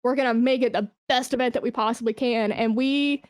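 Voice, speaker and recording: Woman podcast guest chatting casually, highish voice, really emphatic and gushing, informal, dry sound. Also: steady tone, a little fast, no echo. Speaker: podcast guest, esports brand manager. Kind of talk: casual interview chat.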